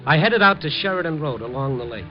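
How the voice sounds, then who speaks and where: An actor playing a streetwise, wry newspaper reporter in a 1950s radio mystery, talking in a hard-boiled, wisecracking way. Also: male voice, a little high, expressive, quick pace, natural voice. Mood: terse, brooding. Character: streetwise, persistent male newspaper reporter narrating his night beat. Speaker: actor and narrator in a radio drama. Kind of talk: 1950s radio mystery acting with first-person narration. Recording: dull vintage 1950s radio recording, music behind.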